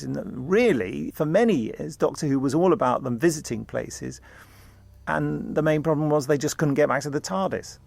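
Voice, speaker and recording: A man's voice: medium pitch, vocal fry, no room sound. A man talking casually in an interview, explaining things with frequent conversational asides. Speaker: audio drama maker.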